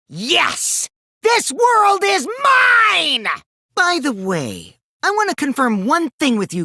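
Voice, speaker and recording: A very dry, close recording of a boyish, high-voiced teenage trickster from a video game. The delivery is theatrical and taunting, swinging widely between sing-song teasing and cold deceit. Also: male voice, bright, forceful tone, no room sound, exaggerated voice. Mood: gloating, megalomaniac, then teasing, breezy. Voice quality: boyish, light. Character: mischievous, taunting teenage boy schemer, gleefully deceitful. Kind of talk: animated video game dub acting.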